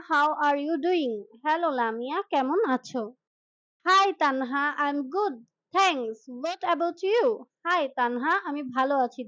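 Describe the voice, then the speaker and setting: High-pitched woman reading language lesson. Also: no echo, dull audio. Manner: slow deliberate English, brisk translations. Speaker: English teacher. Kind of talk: scripted language lesson dialogue, read line by line.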